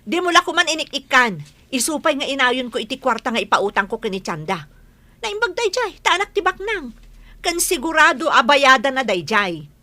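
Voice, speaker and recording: A woman playing a money-minded, nagging village wife in an Ilocano radio comedy drama, with an animated, scolding manner. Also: sits high, normal speed, full character voice.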